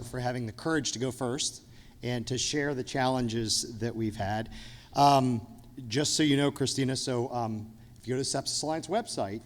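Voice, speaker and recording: A man moderating a conference panel in a friendly, orderly way, thanking panelists and taking questions. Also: mid-range voice. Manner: friendly, orderly, some fillers. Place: conference hall. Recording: echoey conference hall.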